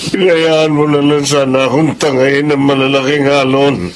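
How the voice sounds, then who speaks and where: An actor playing a grieving, despairing older widower in a Filipino radio drama, speaking slowly and heavily with a Filipino accent. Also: male voice, medium pitch, little pitch movement, close to an everyday voice. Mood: anguished, despairing. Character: grieving, despairing suicidal widowed old man. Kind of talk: Tagalog radio drama acting.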